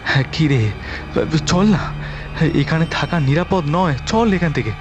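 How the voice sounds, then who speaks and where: A man's voice: fairly high pitch, lively intonation, a little slow, muffled recording, voice pushed up. A man playing a frightened but resolute young man in a horror audio story, urgent and insistent, repeating himself. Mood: urgent, alarmed. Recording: voice over background music.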